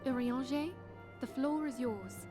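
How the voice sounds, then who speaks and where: Poised, higher-voiced female order leader. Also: a little slow, over music. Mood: businesslike. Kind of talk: scripted fantasy game dialogue.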